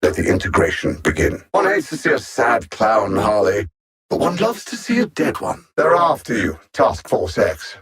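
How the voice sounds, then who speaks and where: Haughty, swooping British alien supervillain. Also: male voice, mid-range voice, very animated, a bit bright, slightly rough. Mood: gloating. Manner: pompous, sardonic, deliberate diction. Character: haughty alien supervillain machine intellect, coldly superior, mocking. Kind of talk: theatrical video game villain voice lines.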